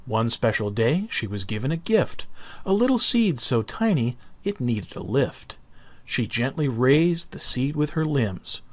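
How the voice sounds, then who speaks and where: Highly animated male storybook narrator. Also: a little slow, no room sound. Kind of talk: expressive children's read-aloud narration.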